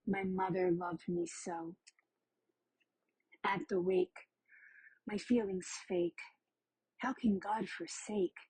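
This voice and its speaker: A woman reciting a poem with somber, deliberate phrasing. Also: on the low side, a little mellow, husky, no room sound, rough recording. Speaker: poet reading own work. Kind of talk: poetry recitation.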